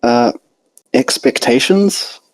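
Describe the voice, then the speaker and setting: A bookish female unicorn acted by a man, pitched well up. She sounds skeptical and defensive, a storybook know-it-all recorded dry and close. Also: lively intonation, takes it slowly, dry recording, poor audio. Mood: puzzled. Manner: incredulous, defensive.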